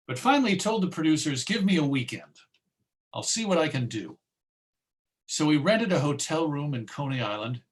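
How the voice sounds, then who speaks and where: An American man presents to listeners in an informal lecture style. His voice sits at a medium pitch, and he tells things conversationally, with emphasis on key points. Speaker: presenter of a music history talk.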